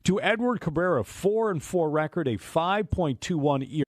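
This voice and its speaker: A man's voice: on the high side, expressive, normal speed, dry recording. A man hosting a baseball radio pregame show, reading scripted updates and sponsor spots. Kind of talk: scripted sports broadcast narration. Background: faint music.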